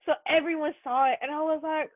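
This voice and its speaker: A woman's voice: high-pitched, steady tone, fast talker, no echo. A woman telling informal anecdotes in a casual group chat, on a dull recording. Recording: dull, lo-fi sound.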